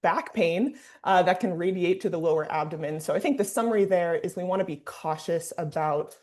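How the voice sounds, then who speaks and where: A woman with a low, mellow voice talks through a medical case over a video call. She thinks aloud and uses frequent fillers. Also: a little fast. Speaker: clinician discussant. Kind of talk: teaching discussion.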